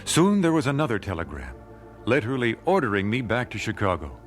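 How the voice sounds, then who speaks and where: An actor playing a mild, supportive 19th-century gospel singer in an audio drama, speaking in a gentle, attentive way. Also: male voice, lowish voice, sing-song, fairly quick, soft background music. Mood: narrating, reflective.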